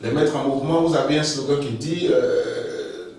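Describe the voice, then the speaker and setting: A man in the middle of the male range hosts an interview, chatty and quick to agree. He sits far from the mic, and a heavy room echo hangs on every word. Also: medium pitch, a little mellow. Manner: chatty, eager, frequent affirmations. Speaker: interviewer, host. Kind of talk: interview questions. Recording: roomy echo, distant mic.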